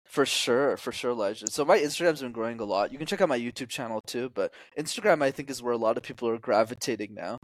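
A man in his twenties talks quickly and eagerly as a communication coach, jumping up in pitch whenever he plays out what people say. His voice sits at a middling pitch. The sound is dry and close, with no room around it. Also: no room sound. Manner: energetic, acts out quoted voices with sharp pitch jumps. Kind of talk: casual interview conversation.

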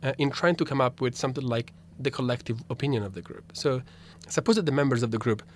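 A man's voice: medium pitch, fast talker, slight background noise. A young man, a native Italian speaker with an Italian accent, explaining his philosophy research as a radio interview guest, thoughtful, with frequent restarts. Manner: thoughtful, many restarts and fillers. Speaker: philosophy graduate student guest.

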